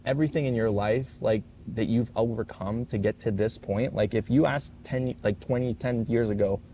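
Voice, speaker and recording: A man's voice: mid-range voice, moderate pace, dark tone, a little hiss or hum. A man co-hosting a podcast in a warehouse, chatting casually in a rambling way, full of fillers and swearing. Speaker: podcast co-host. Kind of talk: casual chat.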